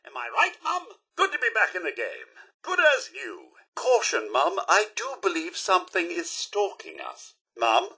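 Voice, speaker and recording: A man's voice: high-pitched, wildly swooping pitch, unhurried, sharp tone, husky, full character voice. A man playing a prim, loyal robot butler in a video game, speaking with a British accent and polite, theatrical formality. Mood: chipper, watchful. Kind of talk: scripted video game character lines.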